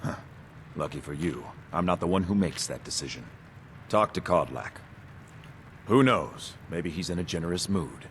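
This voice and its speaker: An actor playing a suspicious, haughty warrior who talks down to newcomers in a video game. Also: male voice, deep voice, expressive, moderate pace, a little hiss or hum. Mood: condescending. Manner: challenging, condescending. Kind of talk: video game character dialogue.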